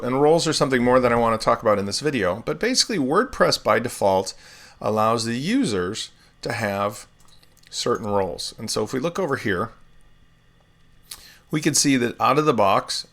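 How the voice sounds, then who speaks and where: A man hosting a live software tutorial, explaining steps in a relaxed way with everyday comparisons while still getting over laryngitis. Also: medium pitch. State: recovering from laryngitis, swallowing often. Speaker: web developer tutorial host.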